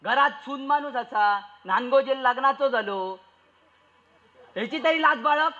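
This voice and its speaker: A man's voice: very high-pitched, unhurried, sharp tone, exaggerated voice. A man playing a nagging, exasperated daughter-in-law in a comic stage play, scolding and complaining in a Malvani accent. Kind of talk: broad comic stage acting in a dialect play. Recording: dull, lo-fi stage recording.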